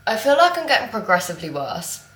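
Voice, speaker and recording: A woman's voice: mid-range voice, big pitch swings, fast, fairly bright tone. A woman vlogging casually as a fitness coach, congested from a cold, rambling with lots of fillers. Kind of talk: casual vlog chat to camera.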